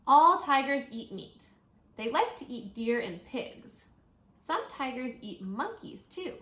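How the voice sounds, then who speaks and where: Female primary teacher, higher-pitched voice, instructional read-aloud for young children with thinking-aloud asides, roomy echoing sound. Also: a bit bright. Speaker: second grade teacher reading aloud. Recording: roomy echo, audible background noise.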